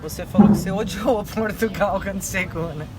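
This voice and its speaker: A woman's voice: medium pitch, fairly quick. A woman from Brazil with a Brazilian accent, interviewing informally with casual prompting questions. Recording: noisy outdoor urban street ambience.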